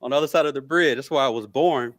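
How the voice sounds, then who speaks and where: A man's voice: medium pitch, fast talker, a bit bright. Podcast guest, male, middle-aged, American accent, mid-range voice, casual conversational answers. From Memphis, Tennessee, USA. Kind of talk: casual interview talk.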